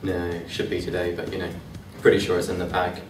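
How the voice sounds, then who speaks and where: A man plays a low-voiced, arrogant young job seeker, curt and dismissive, sure he is the best. The amateur sketch is echoey and picked up from a distance, with faint music underneath. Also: completely flat delivery, fairly quick, a little hiss or hum, close to an everyday voice. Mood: smug, confident. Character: smug, overconfident young male job applicant.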